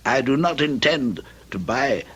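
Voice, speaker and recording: A man's voice: mid-range voice, animated, a little slow, fairly bright tone, slightly rough, muffled recording, exaggerated voice. An actor playing a wary, haggling old moneylender in a radio drama, hesitant and fussy in his phrasing.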